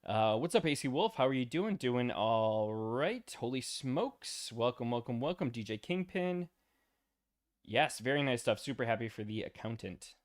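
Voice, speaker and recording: Male stream host, worn out and going hoarse, easygoing rambling chat. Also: medium pitch. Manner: loose and rambling, many ums and uhs, greets chat by name. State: tired and losing his voice. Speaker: livestream host. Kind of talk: casual livestream chat.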